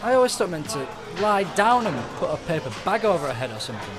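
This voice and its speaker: Man playing a polite high-voiced barman. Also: sing-song, quick pace, music in the background. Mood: polite, puzzled. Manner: polite, hesitant. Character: polite, mildly worried male pub barman. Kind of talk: radio drama acting.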